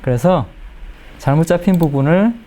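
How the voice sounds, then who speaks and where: A middle-aged man giving a spoken software tutorial as an editing instructor, explaining step by step and checking in with questions. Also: fairly high pitch, normal speed.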